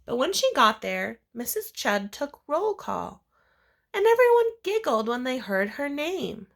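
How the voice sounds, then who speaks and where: A woman reading a children's picture book aloud in an expressive storytelling style, with deliberate, emphasized phrasing. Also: high voice, creaky. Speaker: read-aloud reader.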